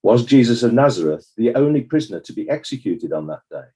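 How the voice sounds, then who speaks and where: A man acting as a formal counsel who puts measured, probing questions to a witness in a dramatized inquest. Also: mid-range voice, big pitch swings, warm tone, lightly acted. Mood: formal, inquiring.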